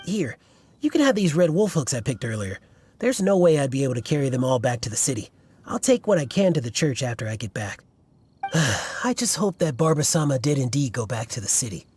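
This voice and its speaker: A man's voice: medium pitch, big pitch swings, fairly quick, darkish tone, a little hiss or hum, close to an everyday voice. A man voicing an earnest, fussy, hesitant young fan club leader in a video game. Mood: flustered, worried. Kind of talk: video game character dialogue.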